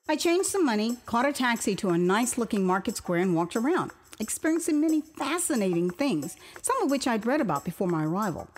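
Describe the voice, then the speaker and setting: An older American woman narrates her own story in an expressive, theatrical first-person style with amused asides. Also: fairly high pitch, husky. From Houston, Texas, United States. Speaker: author reading her own memoir.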